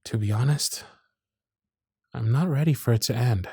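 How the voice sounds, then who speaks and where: Man acting as a husky, nervous lovestruck circus performer. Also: medium pitch, dark tone, dry recording, clean studio sound. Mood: nervous, hesitant. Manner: hesitant, breathy, earnest, halting delivery. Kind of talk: intimate ASMR roleplay acting.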